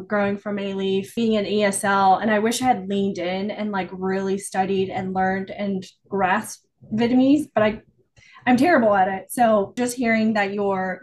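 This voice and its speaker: Enthusiastic woman co-host. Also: mid-range voice. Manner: enthusiastic, admiring. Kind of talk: casual interview chat.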